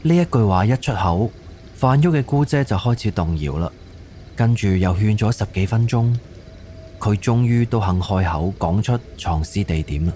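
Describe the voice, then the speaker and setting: A male narrator with a Cantonese accent reads a scripted story. His voice is fairly low, dark and relaxed, with faint noise behind it. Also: moderate pace.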